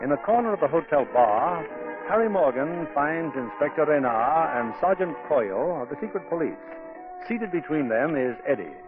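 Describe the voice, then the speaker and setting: A man's voice: a little high, big pitch swings, normal speed, over music. A man narrating a 1940s radio drama in a formal, dramatic style. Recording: tinny 1940s radio broadcast.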